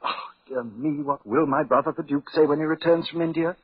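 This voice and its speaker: An actor playing an agitated English lord with a nervous, fretful manner in 1940s radio style. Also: male voice, fairly high pitch, brisk, breathy edge, dry recording. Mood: fretful, pleading. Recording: muffled 1940s radio recording.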